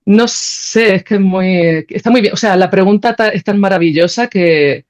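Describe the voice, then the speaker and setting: A woman with an accent from Spain speaks as an interview guest in a slightly muffled recording. Her voice is on the low side for conversation's reflective, informal flow, as she thinks aloud and often seeks agreement. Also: normal speed, a little mellow. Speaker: podcast guest, composer and philosopher.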